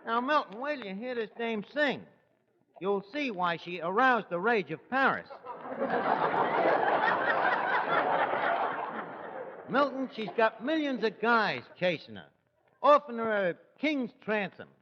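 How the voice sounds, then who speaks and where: A man's voice: high-pitched, very animated, moderate pace. An actor playing a scheming, malaprop-prone New York tavern manager in 1940s radio comedy, slangy, hesitant and mangling his words. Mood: boastful pitching. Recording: noisy, muffled 1940s radio recording, echoey hall.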